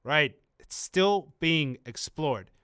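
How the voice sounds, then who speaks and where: Bright-voiced man chatting on a stream. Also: on the high side, slow, smooth. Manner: relaxed, rambling explanations with many ums and restarts. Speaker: community manager on a game stream. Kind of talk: casual livestream chat answering viewer questions.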